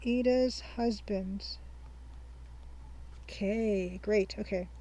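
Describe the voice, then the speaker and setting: A young woman hosting a game playthrough, chatting casually and thinking aloud as she reads her notes slowly. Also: medium pitch, dark tone, rough recording. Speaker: let's-play host. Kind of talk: casual let's-play commentary.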